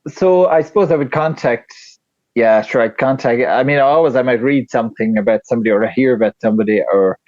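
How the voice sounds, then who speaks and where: A man with a flat Tipperary Irish accent, chatting casually as a podcast guest, rambling with lots of fillers and restarts. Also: medium pitch, quick pace, no room sound, dull audio. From Ireland. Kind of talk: casual interview chat.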